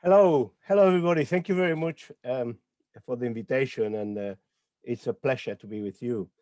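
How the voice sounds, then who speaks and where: A man's voice: medium pitch, a little fast, darkish tone, no echo, studio quality. A man from Spain with a Spanish accent, a poet and educator speaking on an online panel in a hesitant way, with many filler sounds, over a video call. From Lorca, Murcia, Spain.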